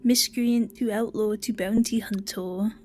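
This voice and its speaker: A woman's voice: mid-range voice, fairly even intonation, a little slow, a little mellow, a little hiss or hum. A woman playing a bounty hunter in a roleplay game, talking in a jumpy, rambling way and swearing freely. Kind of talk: in-character roleplay chat.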